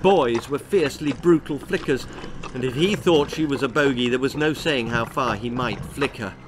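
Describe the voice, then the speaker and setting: A man's voice: mid-range voice, moderate pace, noisy background. A man reading a children's horror-comedy story in a theatrical, precise storytelling style. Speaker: author reading his own story.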